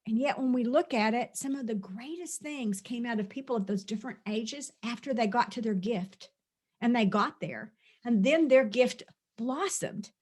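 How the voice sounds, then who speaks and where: A woman's voice: a little high, normal speed, a little mellow. Earnest woman leading a spiritual session. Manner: earnest, repetitive, lists and repeats phrases. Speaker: spiritual teacher. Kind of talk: spoken spiritual release session.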